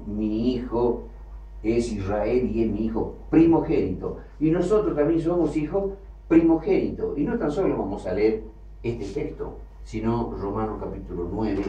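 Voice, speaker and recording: A man preaching a sermon, emphatic, with loud bursts that drop low again. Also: a little slow, muffled recording. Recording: echoey hall, from far off, faint ambience.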